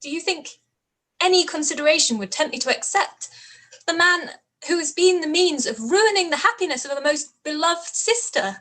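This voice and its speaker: A spirited young gentlewoman of the Regency era, acted by a woman for a radio play. The voice is high, and the words are measured, articulate and pointed, with composed pride. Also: expressive, exaggerated voice. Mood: indignant. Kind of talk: radio play live reading.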